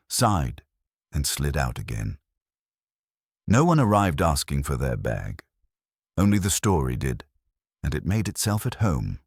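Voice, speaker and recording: A man reading scripted literary mystery narration in a measured, wry storytelling manner. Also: rumbling low, dry recording, clean studio sound. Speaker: narrator of a mystery audio drama.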